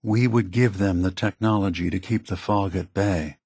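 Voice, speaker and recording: A man voicing a calm, remorseful old synthetic android leader in a video game, speaking in a measured, grave, deliberate way. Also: low voice, slightly rough, no room sound, studio quality. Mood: measured, explanatory.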